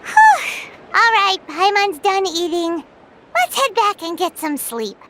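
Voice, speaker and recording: A woman voicing a tiny, hungry, excitable fairy companion girl in a game, chirpy and speaking of herself in the third person. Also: slow, slight background noise, heavily acted. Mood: chatty. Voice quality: very high, squeaky. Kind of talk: game voice acting. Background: game music.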